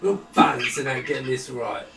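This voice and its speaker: A man with a low-pitched voice presents a product casually and without a script. He often hesitates and talks himself through what he is doing. The sound is roomy, with loud music behind him. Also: a little fast, creaky. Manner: hesitant, thinking aloud while working. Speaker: presenter demonstrating a monitor product. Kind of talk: unscripted casual product demo. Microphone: camera-side microphone set away from him. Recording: roomy, distant microphone with music. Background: music from demonstrated speakers.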